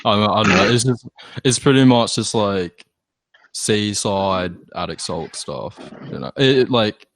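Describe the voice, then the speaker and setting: A man's voice: lowish voice, measured pace, dry recording. A man with an Australian accent chatting casually, hesitant, self-deprecating and sweary. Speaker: band musician, podcast guest. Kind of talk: casual chat.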